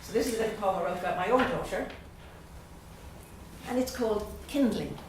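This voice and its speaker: A middle-aged Irish woman from Dublin reading her poems slowly and with pauses at a literary evening, chatting hesitantly between them. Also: mid-range voice, expressive, noisy background. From Dublin, Ireland. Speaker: guest poet. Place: event room at a literary gathering. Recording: very echoey room, voice far off.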